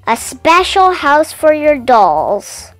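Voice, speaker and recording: A woman hosting her own channel, reading a children's picture book aloud with gentle, clear enunciation and playful emphasis. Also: sits high, very animated, takes it slowly, dry recording, soft background music. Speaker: storybook reader and channel host.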